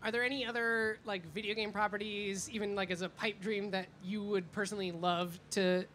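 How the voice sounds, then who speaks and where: A man's voice: sits high, level tone, a little fast, bright, forceful tone. A man interviewing casually in fan-like chat, with informal phrasing full of filler "like". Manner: informal, peppers speech with "like". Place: fan convention event. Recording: noisy live event with background ambience.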